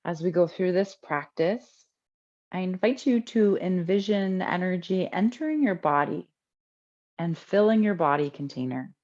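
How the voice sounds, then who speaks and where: A woman teaching a guided breathing meditation in a calm, unhurried way with long pauses between instructions. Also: on the low side, lo-fi sound.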